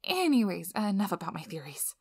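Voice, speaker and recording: A very dry, intimate recording of a woman acting out a flustered young female researcher in an ASMR role-play. She speaks at a medium pitch, rambling and stumbling apologetically, and slips into breathy, whispered asides. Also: sing-song, brisk, darkish tone, dry recording. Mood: earnest, absorbed. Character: nervous, rambling young woman PhD researcher of magical creatures.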